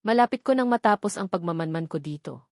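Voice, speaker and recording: A woman's voice: on the low side, lively intonation, fast, no echo, studio quality. A woman voicing a restrained, serious male security guard in a story. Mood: serious, secretive. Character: male security guard, shy but secretly authoritative. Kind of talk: storybook character dialogue.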